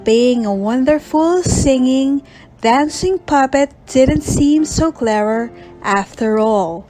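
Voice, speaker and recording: A woman's voice: high-pitched, lively intonation, slow, mellow, soft background music, low-quality recording. A woman storyteller reading a scripted bedtime story for children.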